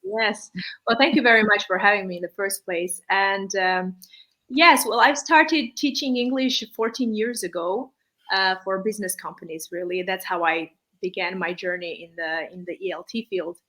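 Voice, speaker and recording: A woman's voice: mid-range voice, moderate pace, bright, forceful tone, low-quality recording. A woman from Armenia, an English speaker, giving conversational interview answers with hesitant, circling phrasing. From born in Armenia, raised partly in Malaysia. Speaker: interview guest, English teacher.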